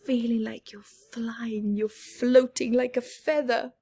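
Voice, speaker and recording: A woman reading a children's picture book aloud, expressive, with soft laughs and whispered asides. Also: a little high, takes it slowly, slightly rough. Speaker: storyteller.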